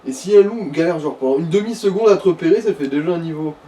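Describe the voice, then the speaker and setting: A man's voice: on the high side, animated, fast talker, warm tone. A man giving slangy, explanatory co-commentary in a casual gaming chat. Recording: distant mic with background noise.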